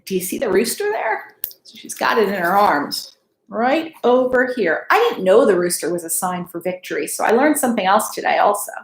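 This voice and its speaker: A woman in her thirties reading a picture book aloud to children in an expressive, teacherly way. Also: medium pitch, moderate pace, gravelly. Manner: expressive, teacherly, checks in with questions. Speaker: school principal reading aloud to pupils. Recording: echoey room.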